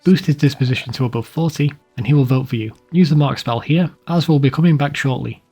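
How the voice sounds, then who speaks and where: A man's voice: medium pitch, fast, mellow, breathy edge, soft background music. A man reading a scripted video game walkthrough in a plain, informal, instructional way. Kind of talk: scripted guide narration.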